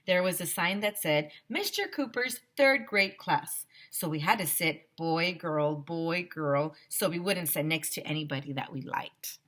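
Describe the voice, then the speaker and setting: A woman teacher reading a children's story aloud in an expressive, animated narrating style. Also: low voice, moderate pace, hardly any pauses. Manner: animated, with sound effects.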